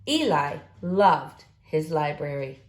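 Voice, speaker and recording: A woman narrates a children's storybook with dramatic, deliberate phrasing. Her pitch moves around a lot and her pace is unhurried. The room adds a noticeable echo. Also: very animated. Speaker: storybook reader. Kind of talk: expressive children's read-aloud narration. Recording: roomy, echoing room sound.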